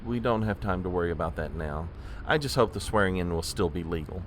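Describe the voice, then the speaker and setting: An actor playing a fussy, anxious, long-suffering presidential aide in a comedic audio drama. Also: male voice, deep voice, lively intonation, fairly quick, slight background noise. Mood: exasperated. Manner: fussy, deferential, hesitant.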